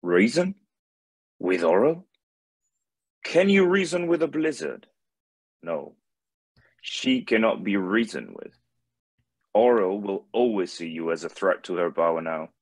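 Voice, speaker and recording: A man playing a solemn, benevolent god of light with a grave, measured delivery in an animated fantasy table read. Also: deep voice, breathy edge, no room sound, full character voice. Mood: incredulous, grave.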